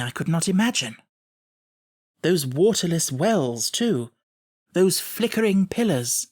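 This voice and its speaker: Man narrating a classic novel, measured delivery. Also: high-pitched, husky. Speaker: audiobook narrator. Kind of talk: scripted literary first-person narration.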